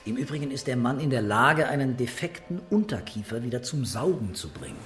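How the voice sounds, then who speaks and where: An actor playing a calm, unhurried investigator in a radio drama, understated and wry in manner. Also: male voice, mid-range voice, natural voice.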